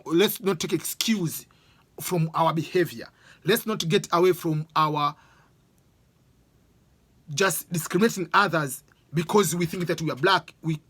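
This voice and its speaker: A man's voice: high-pitched, a bit bright, husky, no echo. A man with an East African accent speaking English as a Swahili speaker, giving an informal opinion monologue and repeating phrases for emphasis.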